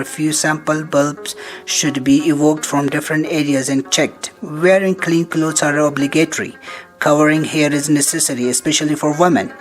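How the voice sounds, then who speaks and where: A man's voice: a little high, low-quality recording. A man reading scripted narration for an instructional film in a formal, step-by-step way. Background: background music.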